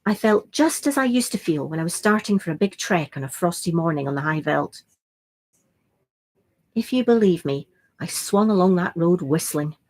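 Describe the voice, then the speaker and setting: A woman's voice: lowish voice, a little mellow. A woman reading a classic adventure novel aloud as a first-person narration. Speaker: reader.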